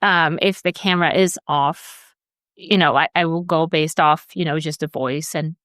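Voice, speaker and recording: Smooth-voiced woman from Sweden. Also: low voice, steady tone, normal speed, a bit bright, no echo, studio quality. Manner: hesitant, many fillers and restarts. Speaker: HR guest. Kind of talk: conversational interview answers.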